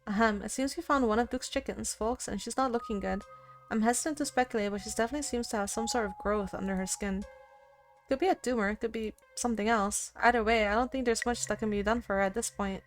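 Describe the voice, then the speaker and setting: A woman's voice: a little high, steady tone, fast talker, lightly acted. A woman voicing an earnest young female monster-hunting video maker in a visual novel. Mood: sombre presenting. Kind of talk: visual novel character read-aloud. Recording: music behind.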